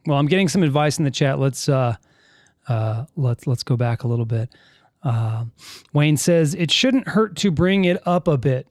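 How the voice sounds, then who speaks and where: A man's voice: medium pitch, expressive, normal speed, darkish tone, no room sound. Chatty man hosting a podcast, dry sound. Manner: rambling, self-correcting, reads chat comments aloud. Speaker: podcast host. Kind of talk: casual live podcast chat. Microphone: studio mic with headphones.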